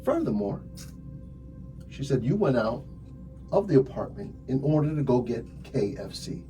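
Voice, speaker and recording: A man playing a calm, methodical, sardonic police detective in an ASMR roleplay, talking deliberately with drawn-out phrasing and wry asides. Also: mid-range voice, lightly acted, low-quality recording. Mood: pressing, sardonic. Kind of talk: slow ASMR roleplay acting. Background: music.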